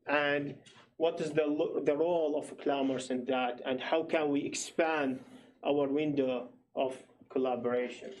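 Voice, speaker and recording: A man's voice: on the high side, darkish tone, rough recording. A man from Iraq, a PhD student in his thirties, gives a hesitant, explanatory research presentation at a public meeting. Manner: slow, hesitant, explanatory, filler-heavy.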